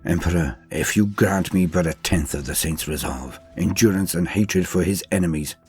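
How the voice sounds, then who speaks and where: A man voicing a devout, anxious young religious acolyte in a war story, in a hushed, solemn, prayerful manner. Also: low voice, moderate pace, soft background music, natural voice. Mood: fervent prayer. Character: devout, anxious male acolyte, earnest and weary.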